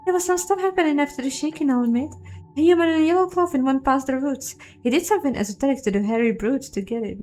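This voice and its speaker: A woman's voice: high voice, fast talker, darkish tone, voice pushed up, lightly acted. A woman voicing a small, earnest hive-mind ant from a video game, talking in a casual, rambling way. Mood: eager, defensive. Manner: casual, rambling, newly unsure of itself.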